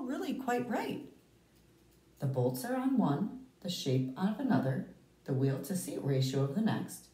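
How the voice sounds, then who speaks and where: A woman reading a picture book aloud to children, with deliberate, emphatic phrasing and dramatic pauses. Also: sits low, dark tone. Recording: roomy, echoey, distant microphone.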